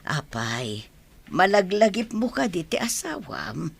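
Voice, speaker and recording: A woman playing a gentle, worried old grandmother in a radio drama, soothing and admonishing her family. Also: on the low side, very animated, takes it slowly, gravelly, slightly rough, exaggerated voice.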